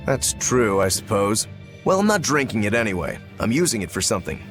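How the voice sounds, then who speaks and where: An actor playing an easygoing male swordsman demon who loves drink, talking in a relaxed, teasing way in a video game cutscene. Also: on the low side, brisk, faint music behind. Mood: casual. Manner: laid-back, teasing.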